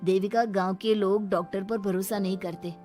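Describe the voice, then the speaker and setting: A woman's voice: mid-range voice, fairly even intonation, normal speed, dark tone, soft background music, pitched below the performer's own voice, natural voice. A woman voicing stubborn, traditional village men in a firm, scolding melodramatic story style. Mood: dismissive.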